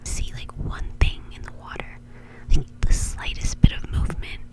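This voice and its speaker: A woman's voice: moderate pace, low-quality recording. Woman whispering casual ASMR. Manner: informal, chatty. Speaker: host.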